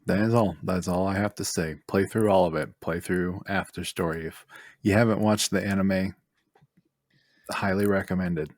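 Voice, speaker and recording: A man with a low-pitched voice talks casually on a podcast panel. He speaks in plain, short statements, and the sound is dry with hardly any room in it. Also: fairly even intonation, moderate pace, no room sound. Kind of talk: casual chat.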